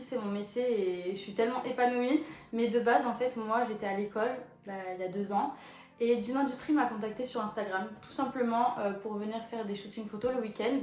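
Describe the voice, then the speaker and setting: A woman in her twenties, a photo model, chatting casually as she answers viewer questions, with lots of relaxed fillers. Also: fairly high pitch. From France. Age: 20s. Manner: chatty and relaxed, with many fillers like 'du coup' and 'voilà'. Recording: echoey room with faint background music.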